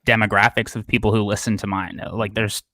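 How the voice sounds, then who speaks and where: A man's voice: on the low side, little pitch movement, fast, sharp tone. A man talking casually as a podcast guest, self-deprecating, with lots of fillers and restarts. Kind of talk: casual interview chat.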